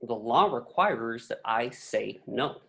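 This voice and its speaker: A woman's voice: very deep voice, animated, slow pace, gravelly, fairly bright tone, exaggerated voice. A woman playing a fussy, tyrannical middle-aged male office boss in a script read, clipped, pompous and condescending. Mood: stern displeasure. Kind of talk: amateur script table read.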